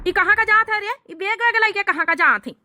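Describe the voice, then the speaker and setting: Woman voicing a high-voiced, scolding cartoon husband. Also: fairly quick, background noise. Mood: grumbling, alarmed. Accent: Awadhi. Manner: scolding, argumentative, grumbling. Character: exasperated, scolding village husband in a comedy cartoon. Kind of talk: exaggerated cartoon comedy dialogue.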